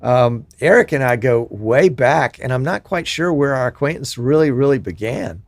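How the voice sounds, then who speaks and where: A middle-aged man hosting a casual streamed talk show, chatty and quick to joke and laugh with his guest. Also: mid-range voice, animated, gravelly, dry recording. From Gulf Coast near Mobile, US. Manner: chatty, jokey, laughs easily. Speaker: show host. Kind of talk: casual interview chat.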